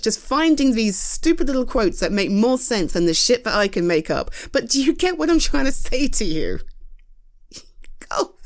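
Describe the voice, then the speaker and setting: A British woman in a casual roleplay monologue, chatty and rambling, reassuring a friend with self-deprecating humor and casual swearing. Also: on the high side. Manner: swears casually, laughs often. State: tired. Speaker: audio roleplay performer.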